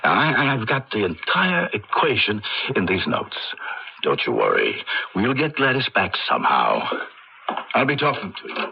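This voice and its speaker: An actor playing a learned, cautious scientist in a radio drama, speaking in a measured, hesitant, formal way with smooth evasiveness. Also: male voice, fairly low pitch, huge pitch swings, exaggerated voice. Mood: reassuring. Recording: dull, noisy old radio broadcast.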